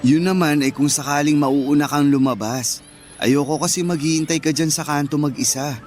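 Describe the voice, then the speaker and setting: A man acting a frustrated young fast-food worker and devoted boyfriend in conversational, protesting radio drama dialogue. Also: fairly high pitch, steady tone, darkish tone, soft background music, natural voice. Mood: resigned.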